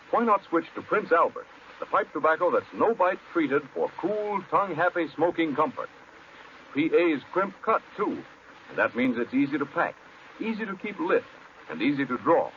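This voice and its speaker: American male pitchman, animated swooping delivery, folksy direct address, muffled vintage radio ad. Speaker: radio advertising announcer. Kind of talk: 1940s scripted radio advertisement. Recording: muffled, slightly noisy 1940s radio recording.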